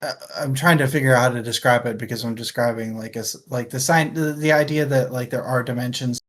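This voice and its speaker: Chatty book podcast host, middle pitch, kinda wry, lots of hedging. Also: steady tone, fast talker, a bit bright. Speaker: book discussion show host. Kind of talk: casual chat, book discussion.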